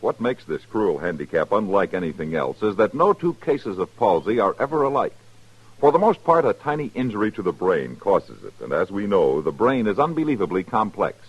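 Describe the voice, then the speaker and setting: A man's voice: lowish voice, sing-song, brisk, husky. A man reading a scripted old radio charity appeal in an earnest, emphatic announcer's manner. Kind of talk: 1950s radio public service announcement. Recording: dull, lo-fi vintage radio recording.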